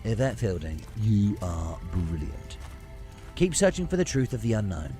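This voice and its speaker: Man acting a wildly animated, playful time traveller. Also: lowish voice, moderate pace, faint music behind. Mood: warm farewell. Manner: playful, flippant. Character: the Doctor, playful male time-travelling adventurer, quick and evasive. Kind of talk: audio drama acting.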